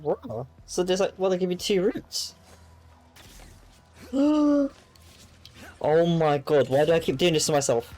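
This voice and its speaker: Young gamer, playful muttering. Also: male voice, on the high side, animated, slow pace, pauses often, a little mellow, soft background music. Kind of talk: let's play commentary.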